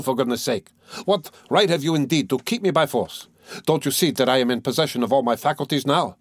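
A man reading an audiobook as a feverish, irritable young man, defiant and frantic, between quiet venom and pleading. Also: a little high, no echo, clean studio sound, pitched above the performer's own voice. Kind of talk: dramatised audiobook dialogue.

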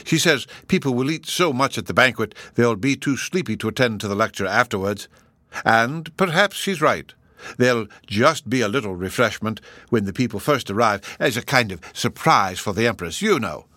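A man's voice: mid-range voice, animated, moderate pace, sharp tone, dry recording. A man voicing a cheery, chuckling, absent-minded old professor in an audiobook. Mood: chattering. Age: older. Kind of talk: audiobook character voice.

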